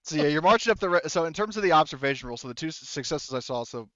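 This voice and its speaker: A man narrating a tabletop game as game master in a casual, halting, rambling way full of verbal tics. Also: fairly high pitch, lively intonation, a bit bright, highs cut off.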